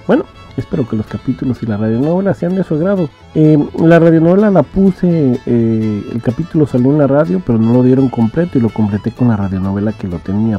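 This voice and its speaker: Man hosting over soft guitar. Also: medium pitch. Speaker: podcast host. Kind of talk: casual outro commentary. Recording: dull home recording with music behind. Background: guitar music.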